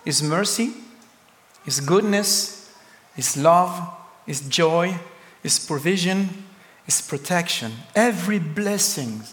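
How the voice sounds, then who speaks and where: A man with a French accent preaching a sermon as a pastor, speaking slowly and deliberately with emphatic pauses. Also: fairly high pitch, fairly bright tone, big-room sound.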